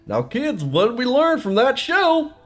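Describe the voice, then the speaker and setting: A man's voice: extremely high pitch, wildly swooping pitch, a little slow, over music, heavily acted. A man playing a sinister, coaxing TV clown who talks to children in a horror audio drama. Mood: sly. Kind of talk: kids' show parody in audio horror.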